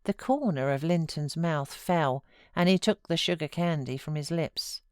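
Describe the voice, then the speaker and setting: A woman's voice: deep voice, measured pace, dry recording, clean studio sound. A British woman reading a classic novel aloud as scripted dramatic narration. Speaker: audiobook narrator.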